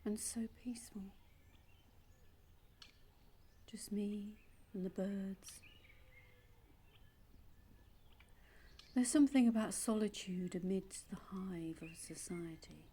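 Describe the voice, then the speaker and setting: Woman acting lonely wistful english woman thinking out loud, slow n confiding, audio play, bit of birdy outdoor noise behind. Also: fairly low pitch. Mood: musing, wistful. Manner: musing, reflective, with tag questions and pauses. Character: solitary, wistful adopted woman searching for her birth mother.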